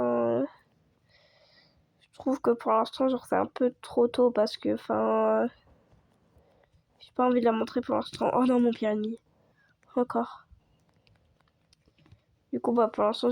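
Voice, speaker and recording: A woman chatting casually over gameplay as a video creator, hoarse from a cold and rambling with lots of fillers. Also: fairly high pitch, a little mellow, no room sound. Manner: rambling, full of fillers and restarts.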